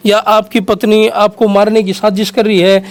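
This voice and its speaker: A man's voice: high voice, little pitch movement, normal speed. A man with a Haryanvi accent working as an interviewer, questioning his guest and explaining things to viewers in a conversational way. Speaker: interviewer and host.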